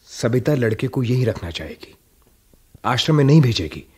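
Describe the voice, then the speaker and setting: Radio drama husband: rough husky voice, slow, self-doubting, expressive and quick to object. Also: male voice, medium pitch. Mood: irritated. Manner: hesitant, objecting, brooding. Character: anxious, irritable, self-doubting middle-class husband. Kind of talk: Hindi radio drama acting.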